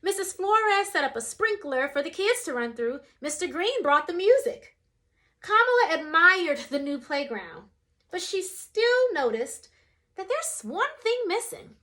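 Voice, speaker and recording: Brief: young woman storyteller, very high and bright, lively reading for kids. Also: measured pace. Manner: expressive, shifts voice for quoted characters. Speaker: storyteller reading a picture book. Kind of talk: children's read-aloud.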